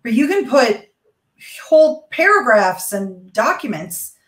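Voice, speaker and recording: A woman's voice: a little high, big pitch swings, slow. A woman lawyer co-hosting a video call discussion, laying out a legal scenario and asking questions. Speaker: co-host, lawyer. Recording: echoey room on video call.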